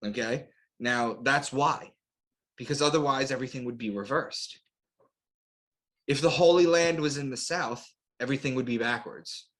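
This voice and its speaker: A man's voice: mid-range voice, moderate pace, a bit bright. A man giving an explanatory lecture as an interview guest, in short declarative phrases with rhetorical questions. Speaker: guest author and astrotheologist.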